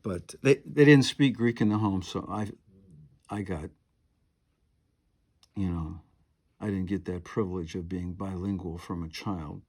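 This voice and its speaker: Rambling older man, husky voice. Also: sits low, unhurried, pauses often, a little mellow. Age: 70s. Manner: chatty, meandering, many fillers and asides. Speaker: piano and harp player. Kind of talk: rambling monologue to camera. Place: home.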